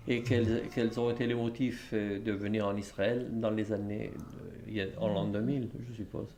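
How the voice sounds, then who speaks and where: A man's voice: lowish voice, little pitch movement, normal speed, darkish tone, roomy sound, slight background noise. A man from Paris conducting a formal interview, asking polite questions and often rephrasing them as he goes. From Paris, France.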